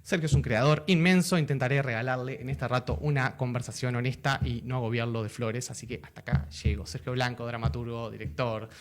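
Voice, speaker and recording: A man with a Uruguayan Spanish accent hosting a political podcast interview, nervous and halting, full of fillers and restarts. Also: mid-range voice, steady tone. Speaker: podcast host.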